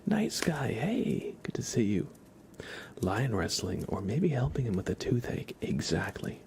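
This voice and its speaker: A man hosting an ASMR livestream, chatting softly and casually in a hushed, unhurried way that often slips into whispering. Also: medium pitch, big pitch swings, creaky, a little hiss or hum. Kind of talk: soft ASMR livestream chat.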